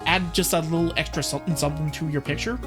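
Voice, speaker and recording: A host reacting to an art video and chatting casually about drawing techniques, with relaxed, rambling delivery and plenty of fillers. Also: mid-range voice, fast. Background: background music.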